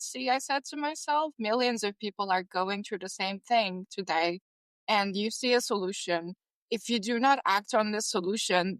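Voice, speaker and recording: A woman's voice: mid-range voice, fairly bright tone, no room sound. A young woman from Turkey, a guest on a podcast, speaking earnestly with many fillers. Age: young adult. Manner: earnest, filler-heavy with "like" and "you know". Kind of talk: casual interview.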